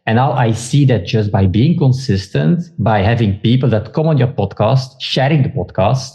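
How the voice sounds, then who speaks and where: A man from Belgium with a Belgian Dutch accent, a podcast guest talking casually in halting sentences with many restarts. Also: medium pitch, animated, dark tone. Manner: halting, with frequent restarts and fillers. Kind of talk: casual interview talk.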